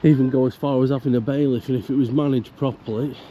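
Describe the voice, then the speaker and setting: A man narrating a casual walk around a park fishing lake, croaky from illness, chatty and grumbling about the council. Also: medium pitch, steady tone, fast, warm tone, highs cut off. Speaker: angler vlogger. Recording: outdoor camera audio with faint background noise.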